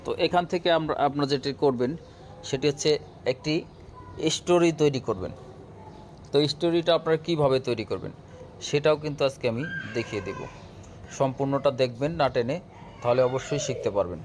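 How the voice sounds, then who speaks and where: A man's voice: mid-range voice, brisk, pauses often. Man teaching, noisy recording. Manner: instructional, addresses viewers directly. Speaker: tutorial host. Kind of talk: casual step-by-step app tutorial. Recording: clear background noise, room ambience.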